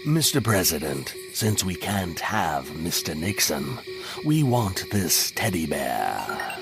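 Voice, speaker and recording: A man playing a polite, curious and innocent alien visitor in a comedic audio drama, speaking formally and deliberately. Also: deep voice, very animated, gravelly, over music, heavily acted. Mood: earnest request. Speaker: voice actor.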